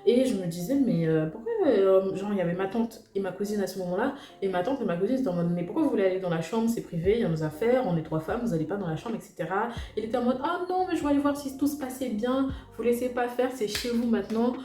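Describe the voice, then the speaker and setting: A woman's voice: medium pitch, quick pace, few pauses. Chatty young woman in an echoey room. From French Guiana. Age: young adult. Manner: chatty, slangy, with frequent fillers and asides to viewers. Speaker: vlogger telling a personal story. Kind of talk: casual storytime chat. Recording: echoey room with loud music behind.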